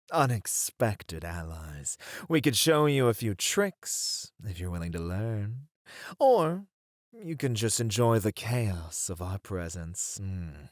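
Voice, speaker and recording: A performer voicing a flirty, playfully mocking demon in an ASMR roleplay, with a drawn-out, purring delivery. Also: deep voice, no room sound, clean studio sound, full character voice.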